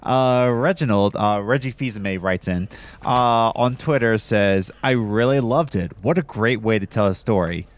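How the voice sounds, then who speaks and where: A man hosting a podcast, hoarse from a cold, chatting loosely with lots of fillers and restarts. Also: fairly low pitch, normal speed. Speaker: podcast host. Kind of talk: casual podcast chat.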